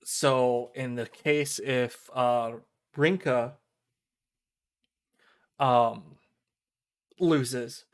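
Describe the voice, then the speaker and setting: A man giving casual commentary on a visual novel playthrough, explaining things in a rambling, halting way. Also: medium pitch. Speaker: game streamer. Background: game music.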